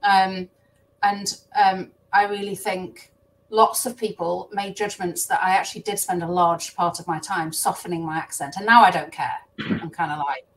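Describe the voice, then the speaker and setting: A woman's voice: on the low side, steady tone, normal speed, recorded from a distance, a little hiss or hum, poor audio. A woman in her thirties with a strong Midlands accent, speaking directly on a panel. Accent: Midlands English. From English Midlands. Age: 30s. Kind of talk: panel discussion.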